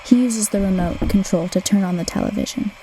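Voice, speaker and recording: A woman's voice: medium pitch, a little fast, dark tone, slight background noise, natural voice, rough recording. A young woman playing a matter-of-fact narrator who describes the action in a comic radio play. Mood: deadpan. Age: young adult. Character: deadpan narrator describing players' moves in sports parody.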